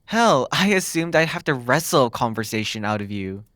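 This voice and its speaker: A man voices a smug demon captor in an ASMR roleplay. He is flirty and teasing, musing with pauses at a middling pitch. The sound is dry and close to the mic. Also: big pitch swings, a bit bright, no echo. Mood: musing, probing.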